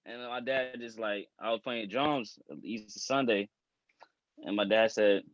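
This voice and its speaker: A man's voice: fairly low pitch, steady tone, unhurried, dry recording. Chatty young man, dull dry recording. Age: 20s. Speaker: guest musician. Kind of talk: casual interview storytelling.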